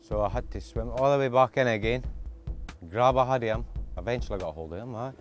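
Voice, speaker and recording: A man's voice: medium pitch, fast, soft background music. A Scottish lifeboat crewman, recounting a rescue in a plainspoken interview manner. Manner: plainspoken, with a short laugh now and then. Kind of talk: interview recollection.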